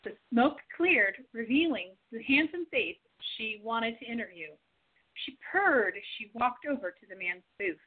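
A woman's voice: fairly high pitch, lively intonation, normal speed, breathy edge. A woman reading scripted narration and stage settings for a radio play. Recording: tinny narrow-band phone-like audio.

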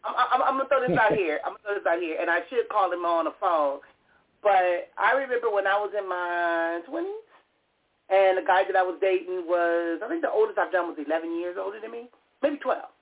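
Animated, teasing woman in her fifties. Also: on the low side, fairly quick. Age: 50s. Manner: teasing, laughing, animated storytelling. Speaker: radio show host. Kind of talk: casual chat. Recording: tinny phone-line sound.

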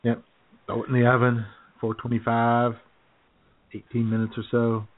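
A man's voice: mid-range voice, completely flat delivery, normal speed, no room sound. An American man co-hosting a talk show in casual, jokey banter, often chuckling as he goes. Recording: tinny, phone-like band-limited sound.

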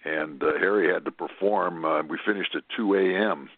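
Very deep-voiced man telling a rambling story. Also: no echo. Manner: rambling, many "uh" pauses. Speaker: film director guest. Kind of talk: casual storytelling on a call-in show. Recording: tinny phone line, dry.

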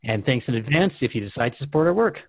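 A man's voice: medium pitch, lively intonation, quick pace, no room sound. A man hosting a radio show in a conversational, earnest and reflective way. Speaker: radio host. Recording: dry, phone-like narrow band.

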